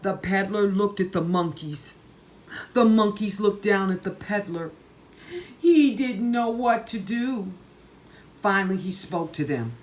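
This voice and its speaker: A woman reading a children's story aloud in a deliberate, emphatic, dramatic way. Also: mid-range voice, a little hiss or hum. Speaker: storytime reader.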